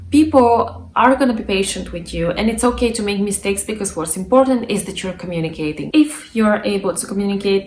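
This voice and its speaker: A woman's voice: mid-range voice, darkish tone. A woman with a non-native English accent giving informal, encouraging language tips as a coach, emphatically repeating her points. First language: not English. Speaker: language coach and online tutor. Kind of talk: informal instructional monologue to camera. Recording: roomy echo, faint background noise.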